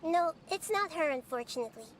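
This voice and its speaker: Very high-pitched, wise little girl deity. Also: lively intonation, slight background noise. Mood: thoughtful. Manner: measured, composed, analytical. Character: small, wise girl deity, calm and childlike. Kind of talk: scripted video game voice acting.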